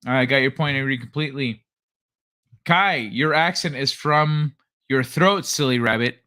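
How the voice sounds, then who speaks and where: A man's voice: medium pitch, moderate pace, pushed and bright. A man from Southern California hosting a casual livestream, chatting loosely with viewers, swearing freely and laughing often. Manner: loose and profane, laughs a lot. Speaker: livestream host. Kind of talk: casual livestream chat, reading chat comments.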